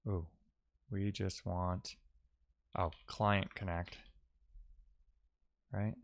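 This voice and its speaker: A man's voice: low-pitched, flat delivery, extremely slow, vocal fry, a little hiss or hum. A man giving casual, think-aloud commentary as a live-coding streamer, often muttering to himself and trailing off.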